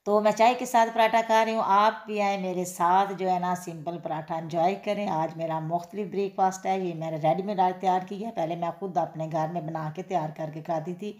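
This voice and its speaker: A woman's voice: lowish voice, steady tone, fairly quick, hardly any pauses, a little mellow. Chatty woman vlogging in an echoey kitchen. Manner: chatty, repeats filler phrases, addresses viewers directly. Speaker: cooking and daily-life vlogger. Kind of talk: casual home vlog narration. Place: home kitchen, then outdoor street fair. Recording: echoey room sound.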